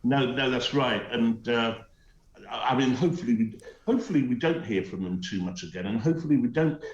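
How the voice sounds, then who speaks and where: A man's voice: medium pitch, fairly quick, echoey room, muffled recording. A middle-aged man with a Teesside accent giving emphatic, scornful commentary on a talk show, hesitating with many uhs. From North East England. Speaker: guest commentator. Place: remote link from home.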